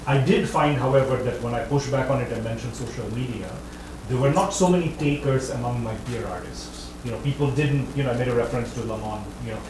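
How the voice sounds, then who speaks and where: A man's voice: medium pitch, quick pace. A man, an author, answering an audience question at an academic talk, thinking aloud and often correcting himself. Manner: thoughtful, hedging, self-correcting. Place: lecture room. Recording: echoey room, distant mic, background noise.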